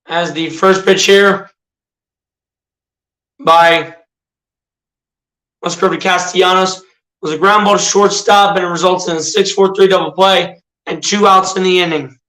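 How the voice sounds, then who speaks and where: A young man calls the game pitch by pitch on his own livestream, breaking off to chat with viewers. His voice is a little rough but bright and fairly high. The sound rings in an echoey room. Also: fairly even intonation, a little slow, pauses often. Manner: chats with viewers between calls. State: slightly rough voice. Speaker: livestream play-by-play caller. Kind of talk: amateur play-by-play commentary.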